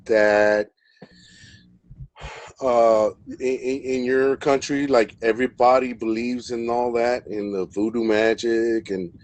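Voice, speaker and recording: A man's voice: mid-range voice, fairly even intonation, slow pace, a little mellow. A man hosting a live stream in a casual, rambling way, full of fillers and repeated words. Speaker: host.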